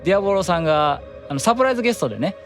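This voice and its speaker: A man guesting on a radio show, chatting very quickly in a slightly high voice. He is agreeable and talkative, often doubling his words, with music playing behind him. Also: animated. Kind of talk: casual radio chat. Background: music bed.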